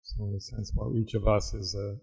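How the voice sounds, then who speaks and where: A man teaching a guided meditation, speaking in a measured, reflective way with long pauses. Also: on the low side, level tone, vocal fry, a little mellow, husky.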